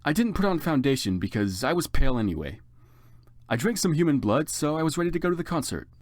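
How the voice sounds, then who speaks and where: A man's voice: fairly high pitch, big pitch swings, fast, dry recording, studio quality. A man giving a dramatic, comedic story reading as narrator, with theatrical and exaggerated emphasis.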